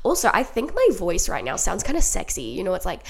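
A woman hosting her podcast from home while sick with a cold, her nose blocked and her throat sore, so she sounds deeper and huskier than usual. She talks in a chatty, upbeat way and keeps addressing her listeners as guys. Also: huge pitch swings, brisk, gravelly, noisy background. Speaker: podcast host and life coach. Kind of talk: solo podcast monologue. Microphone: home podcast mic.

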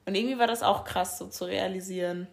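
A young woman talks casually on a podcast, thinking aloud as she goes. She uses lots of fillers and works her way toward each point. Her voice sits at a middle pitch. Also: steady tone, fast talker, vocal fry. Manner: thoughtful, searching, many fillers. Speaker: podcast co-host. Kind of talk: casual chat.

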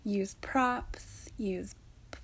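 A woman softly guiding a yoga nidra meditation in a soothing, breathy way, leaving long pauses. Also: medium pitch, whispered. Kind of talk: guided meditation narration.

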